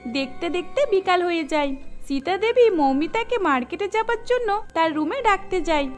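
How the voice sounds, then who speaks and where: A woman's voice: very high-pitched, animated, moderate pace, faint music behind. A woman narrator reading a scripted story for a cartoon.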